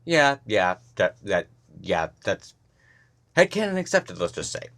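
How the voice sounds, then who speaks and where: A man with a lowish voice giving relaxed commentary after a story reading. He rambles, stumbles over words and restarts his sentences. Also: measured pace. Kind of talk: casual commentary.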